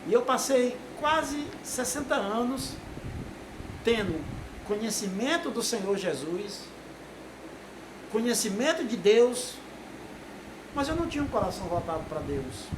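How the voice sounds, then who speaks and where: A man's voice: very high voice, lively intonation, measured pace, pauses often. A man, giving an informal, rambling sermon to a video audience with many tag questions. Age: 60s. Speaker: lay preacher. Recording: echoey room with noise.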